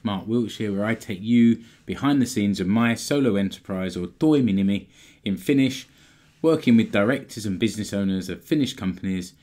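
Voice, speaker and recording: Slightly hoarse man hosting a business podcast. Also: lowish voice, moderate pace, darkish tone. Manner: explanatory, plain-spoken, occasional restarts. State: slightly hoarse from a sore throat. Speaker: podcast host.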